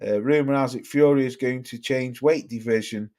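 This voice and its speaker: A man's voice: mid-range voice, moderate pace, a little mellow. Sweary young man with a Cheshire-Stoke accent. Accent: Cheshire English mixed with Stoke. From Cheshire, England. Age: young adult. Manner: blunt, sweary, rambling. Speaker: livestream host. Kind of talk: casual live chat reading viewer comments.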